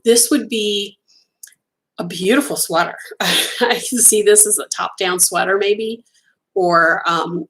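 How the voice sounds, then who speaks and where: Middle-aged woman, Italian first language, eager live-stream host, mid-pitched voice, warmly gushing. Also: poor audio. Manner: chatty and enthusiastic, gushing over colors, many ums. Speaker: crochet designer hosting a live stream. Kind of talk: casual live-stream yarn unboxing chat.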